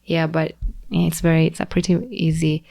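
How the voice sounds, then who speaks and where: A woman's voice: deep voice, flat delivery, brisk, gravelly, mellow. A woman with a Kenyan accent, a guest on a casual podcast, explaining things step by step and spelling out examples, with a lot of "like" along the way.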